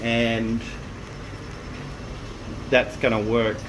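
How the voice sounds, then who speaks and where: A man's voice: medium pitch, little pitch movement, very slow, noisy background. A man talking casually to camera about fitting an intercom into his vehicle, plain-spoken and rambling with frequent restarts. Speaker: vehicle owner showing an intercom install.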